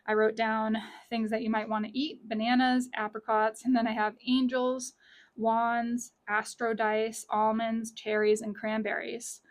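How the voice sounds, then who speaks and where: A woman with a slightly high, raspy and scratchy voice talks through a tarot spread from her small office. She chats casually, corrects herself mid-sentence and repeats the lines that matter. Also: little pitch movement, measured pace. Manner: chatty, self-correcting, repeats key lines. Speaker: tarot reader. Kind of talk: casual pick-a-card tarot reading.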